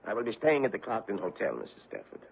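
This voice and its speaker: An actor playing a stern, relentless hunter of a war criminal in a radio drama, grave, insistent and accusing. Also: male voice, on the low side, wildly swooping pitch, rapid-fire, vocal fry, husky, exaggerated voice. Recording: noisy, tinny old radio recording.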